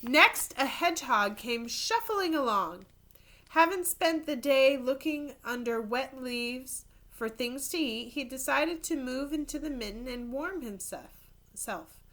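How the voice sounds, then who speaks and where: Bright-voiced woman reading a children's story, unhurried. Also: high voice. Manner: expressive reading, pausing to point out pictures and explain words. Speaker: third grade teacher reading to pupils. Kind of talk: read-aloud children's storytelling with casual asides.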